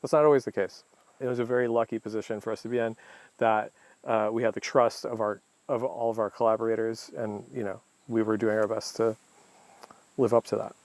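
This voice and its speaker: Documentary producer guy, lowish voice, slow careful wording, dry sound with crickets. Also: little pitch movement, a little mellow, no echo. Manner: measured, pausing to find words. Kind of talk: interview answers.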